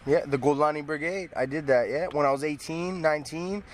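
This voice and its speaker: A man's voice: fairly high pitch, a little fast. A man from Toronto with a Toronto accent, talking casually and emphatically in street slang as a rapper being interviewed, often repeating himself. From Toronto, Canada. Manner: emphatic, repetitive, peppered with slang. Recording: noisy outdoor street with traffic.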